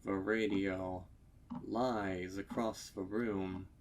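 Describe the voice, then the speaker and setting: A man narrating a dark story with intense, theatrical delivery and deliberate phrasing. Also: low voice, fairly even intonation, a little hiss or hum. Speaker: fan fiction narrator. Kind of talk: dramatic scripted horror story narration.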